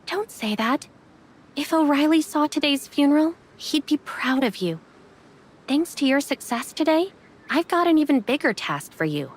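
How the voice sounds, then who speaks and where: A woman playing a caring but reserved young woman in an anime game, speaking gently and reassuringly. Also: high voice, lively intonation, moderate pace.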